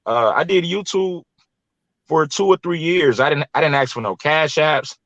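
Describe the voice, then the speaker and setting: A man's voice: fairly high pitch, normal speed, a bit bright, dry recording, highs cut off. An American man around his late thirties from Los Angeles, talking casually as an interview guest in a rambling, colloquial way, often repeating himself. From Los Angeles, California. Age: 30s or early 40s. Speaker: YouTube content creator, guest.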